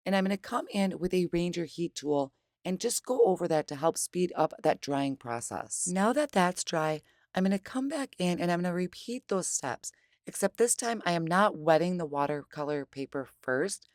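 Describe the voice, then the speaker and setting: Low, hoarse-voiced woman for a craft tutorial voice-over; dry close sound, patient and plain. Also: lively intonation, normal speed, darkish tone, no echo. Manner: explains steps patiently and plainly. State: hoarse, losing her voice. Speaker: craft demonstrator.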